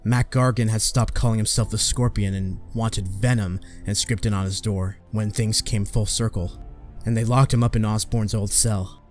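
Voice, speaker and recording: A man's voice: fairly low pitch, steady tone, moderate pace, a little mellow, natural voice. A man playing an earnest, guilt-ridden young superhero in a comic-book fan dub, dramatic, with music behind. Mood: reflective.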